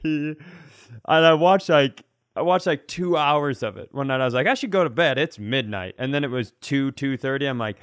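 A male comedian and podcast host telling stories casually, acting out bits in an animated, self-deprecating way. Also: medium pitch, normal speed, dry recording, studio quality. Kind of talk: casual comic storytelling.

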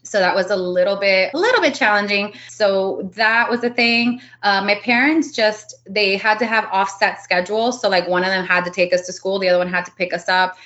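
A woman in her early thirties from Miami who grew up speaking Spanish first, chatting in a loose, giggly way full of little fillers. Her voice sits at a middle pitch, and the recording sounds a touch muffled. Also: fairly quick, hardly any pauses, fairly bright tone. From Miami, United States. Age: early 30s. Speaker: podcast guest. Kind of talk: casual interview chat.

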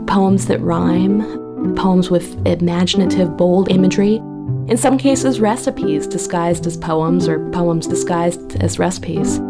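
A woman's voice: lowish voice, slow, over loud music. A woman talking reflectively in an interview as a poetry editor.

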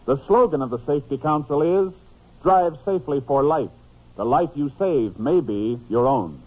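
A higher-pitched male American announcer reads in a 1950s radio style with very animated intonation. His voice has a rough edge, and it comes through a thin, tinny old broadcast recording. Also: moderate pace.

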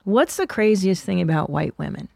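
A woman's voice: on the low side, big pitch swings, moderate pace, gravelly, a little mellow, no room sound. A woman in her forties from Canada hosting a podcast, chatting casually in a blunt, profane, self-mocking way. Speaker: podcast host. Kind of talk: casual comedic chat.

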